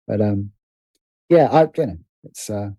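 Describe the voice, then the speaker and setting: A man's voice: on the low side, animated, a little slow, warm tone, no room sound. A British man speaking as a podcast guest in casual conversation, hesitant and thoughtful as he circles around his points. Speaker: podcast guest, writer and producer.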